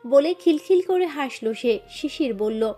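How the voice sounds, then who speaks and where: A woman storyteller narrating a scripted romance. Her voice is mellow and slightly high, and her reading is expressive and emotive. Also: measured pace, faint music behind.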